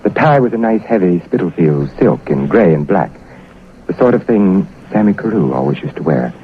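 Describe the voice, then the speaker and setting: A man acting a wary, sharp-witted British intelligence agent in a theatrical 1940s radio drama style. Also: sits low, lively intonation, moderate pace, slight background noise. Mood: grave, suspenseful. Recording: muffled old radio recording with music.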